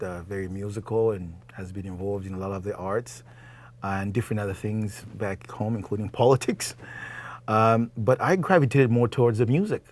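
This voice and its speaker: A man in his thirties from Zambia, a singer-songwriter guest talking casually in a TV studio, animated and quick to laugh. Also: on the low side. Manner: animated, laughs often, repeats words. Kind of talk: casual interview talk. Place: TV studio set.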